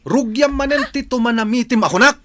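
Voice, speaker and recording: A woman's voice: on the high side, brisk, fairly bright tone, lightly acted. A woman playing an irascible, domineering male boss in a comic radio drama, with a Filipino accent. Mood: exasperated.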